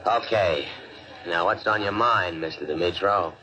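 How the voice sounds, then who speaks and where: An actor in a 1950s radio serial playing a blunt, scheming circus cat handler who bargains coolly. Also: male voice, low-pitched, a little slow, heavy background noise. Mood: offhand, businesslike. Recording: old radio recording with crowd murmur.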